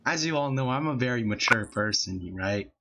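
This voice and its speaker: A man's voice: medium pitch, lively intonation, moderate pace, bright, forceful tone, slight background noise. A young man hosting a podcast, telling a rambling childhood story with many fillers and laughing as he goes. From Filipino family, California. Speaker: podcast host. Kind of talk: casual storytelling chat. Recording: dull lo-fi podcast audio.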